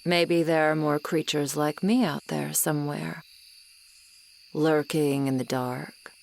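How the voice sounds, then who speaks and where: A woman's voice: sits low, steady tone, gravelly, slight background noise, exaggerated voice. A woman playing an ageless tsundere swamp monster girl, sardonic and grudgingly kind, in an ASMR roleplay. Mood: teasing, aloof. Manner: slow, sardonic, haughty but softening. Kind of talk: ASMR roleplay acting, addressed to the listener. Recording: night swamp ambience with insects behind. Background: crickets and insect ambience.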